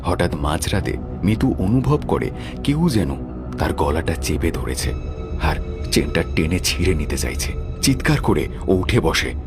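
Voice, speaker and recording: A man's voice: low-pitched, sing-song, measured pace, loud music behind the voice. A man narrating a scripted horror story.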